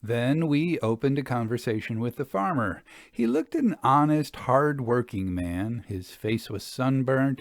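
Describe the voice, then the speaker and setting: A man reading a Victorian account aloud as a storyteller, in a formal, measured literary manner. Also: medium pitch, dry recording, studio quality. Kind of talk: expressive scripted narration of a Victorian travel account.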